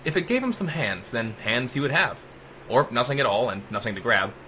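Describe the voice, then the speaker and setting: A man narrating a dark rhyming story as a dramatic audiobook, with theatrical, emphatic rhythm. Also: medium pitch, big pitch swings, brisk, slight background noise, poor audio.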